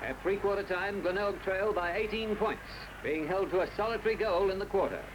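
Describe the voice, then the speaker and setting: A man with an Australian accent narrating a scripted 1970s sports newsreel in formal, measured phrasing. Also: high voice. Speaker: newsreel commentator. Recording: dull, noisy old newsreel soundtrack.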